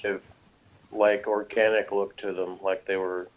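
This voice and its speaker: A man with an American accent and a fairly deep voice chats casually as a review co-host. His delivery is wry and joking. The recording is somewhat dull and lo-fi. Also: little pitch movement, measured pace. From United States. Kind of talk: casual jokey review chat.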